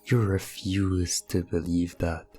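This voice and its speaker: A man delivering scripted hypnosis ASMR narration in a deliberate, commanding manner. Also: low voice, steady tone, darkish tone, breathy edge, soft background music. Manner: slow, deliberate, commanding second-person delivery. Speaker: hypnosis ASMR role-play performer.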